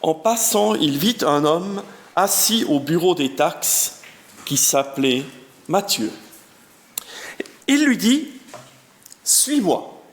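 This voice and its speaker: A man's voice: high-pitched, slow, long pauses. A German-speaking Swiss man in his fifties, a guest pastor preaching and reading scripture in French with a Swiss German accent, genial and chatty. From German-speaking part of canton of Bern, Switzerland. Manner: genial, chatty, self-deprecating, reads scripture with lively emphasis. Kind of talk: sermon with Bible reading.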